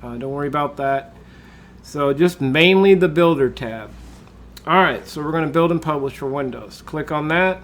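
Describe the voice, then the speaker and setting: A man, congested from a cold, talking through a screen-recorded software tutorial in a relaxed way, with lots of uh and um. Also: on the high side, moderate pace. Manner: relaxed, with frequent uh and um fillers. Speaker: tutorial host.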